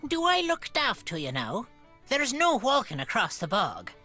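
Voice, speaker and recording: A woman's voice: a little high, huge pitch swings, normal speed, bright, forceful tone, soft background music, exaggerated voice. An actress playing a blunt, cryptic old Irish village woman in a video game, with a folksy, portentous way of telling things. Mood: warning, scornful. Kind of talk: video game character dialogue.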